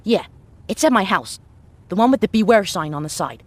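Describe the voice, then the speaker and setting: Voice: mid-range voice, lively intonation, brisk, no room sound, lightly acted. A performer playing an earnest child survivor in a game, self-important and pleading. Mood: earnest, secretive. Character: earnest, secretive child survivor asking a favor.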